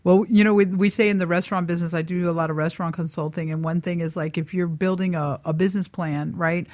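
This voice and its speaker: A woman's voice: lowish voice, steady tone, fast talker, darkish tone, dry recording. A woman chatting casually as a talk show panelist, very informal, often saying like and man. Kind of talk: casual chat.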